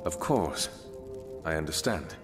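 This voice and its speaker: A man's voice: rumbling low, expressive, takes it slowly, exaggerated voice. A man playing a weary, defensive investigator in a fantasy video game, with a terse, guarded delivery. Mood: resigned. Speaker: voice actor. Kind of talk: dramatic video game voice acting. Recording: long hall reverb, music behind.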